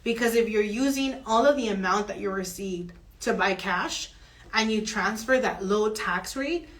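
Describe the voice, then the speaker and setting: A woman mortgage broker explaining home financing options in an earnest, steady advisory chat. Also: mid-range voice, moderate pace.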